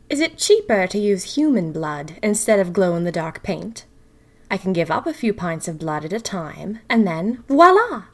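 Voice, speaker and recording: A woman playing a theatrical, wry young woman of the 1920s confiding anxiously in her diary for an audio drama. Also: fairly low pitch. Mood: giddy, wry. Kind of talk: first-person audio drama diary narration.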